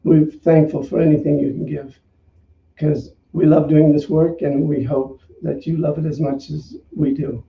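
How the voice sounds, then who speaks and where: A male minister speaks in a creaky, gravelly voice, pausing reflectively as he leads an offering at a service. He sounds set back from the microphone in a reverberant space, and the sound is somewhat dull. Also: fairly high pitch, level tone. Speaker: minister leading an online service. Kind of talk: unscripted church offering talk and led affirmations. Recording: dull, echoey, far from the mic.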